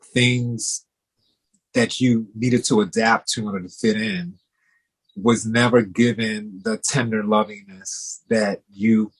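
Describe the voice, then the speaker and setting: A man's voice: on the low side, steady tone, takes it slowly, breathy edge, poor audio. A man hosting an interview, responding to his guest in a supportive, affirming way with many "you know" asides. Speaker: host, therapist-style interviewer.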